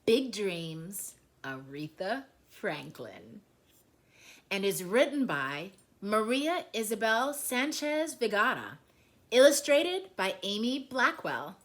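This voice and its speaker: A woman reading a children's picture book aloud in an expressive storytelling style, phrasing deliberately and leaning on key words. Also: fairly high pitch. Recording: music behind the voice.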